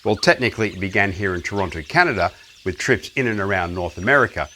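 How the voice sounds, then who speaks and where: A man's voice: low voice, lively intonation, quick pace, bright, forceful tone, slight background noise. A middle-aged man with an Australian accent, presenting a scripted travel webinar in an upbeat way, with Aussie slang.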